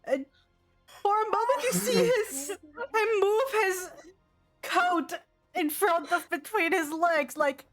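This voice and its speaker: A woman's voice: very high-pitched, slow pace, a bit bright, soft background music. A woman acting as game master, narrating and refereeing a tabletop role-playing game.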